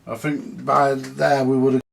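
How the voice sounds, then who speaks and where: A man's voice: medium pitch, flat delivery, a little slow, vocal fry. A man with a British accent giving casual, hands-on repair commentary, thinking aloud in short, plain-spoken remarks as he works. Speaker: vintage radio repairer. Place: indoors at a repair workbench. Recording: noticeable background noise with clicks.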